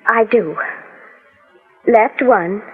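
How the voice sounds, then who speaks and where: A woman's voice: fairly high pitch, drawn-out words, breathy edge, full character voice. An actress playing an earnest, anxious woman in a 1940s radio mystery. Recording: tinny 1940s radio recording, long reverb.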